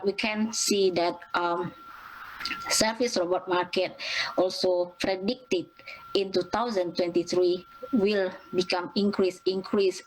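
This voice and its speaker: A young woman from Indonesia with an Indonesian accent, presenting at an academic conference in a halting way, with many fillers and restarts. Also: fairly low pitch, a little hiss or hum, low-quality recording. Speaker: student presenter.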